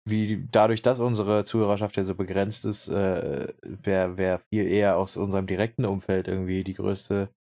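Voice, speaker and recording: A man's voice: deep voice, little pitch movement, normal speed, creaky, few pauses, a little mellow. A young man from Brandenburg co-hosting a podcast, chatting casually and rambling with many fillers. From Brandenburg, Germany. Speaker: podcast co-host.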